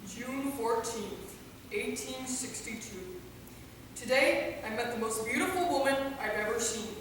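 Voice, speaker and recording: A woman acting cheeky Quinn, high and echoey. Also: a little slow, close to an everyday voice. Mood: mock romantic. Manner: theatrical, teasing. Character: Quinn, cheeky mocking sidekick who needles her friends. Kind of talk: staged play reading. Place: theater hall, mic at a distance. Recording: hall echo, distant, faint noise.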